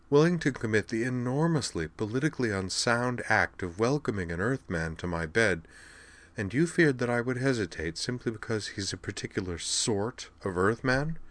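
A man voicing an injured, regal alien princess in an audiobook, with a dry, raspy, formal and measured delivery. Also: fairly low pitch, fairly bright tone, dry recording, voice pushed up. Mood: amused, tender. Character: injured alien crown princess, wry, regal, medicated woman.

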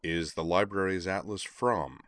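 Unflappable, mild-mannered driver for a storybook male reader acting, low pitch, measured delivery, dry sound. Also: a bit bright, dry recording. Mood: level. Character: calm, level-headed, unflappable male driver.

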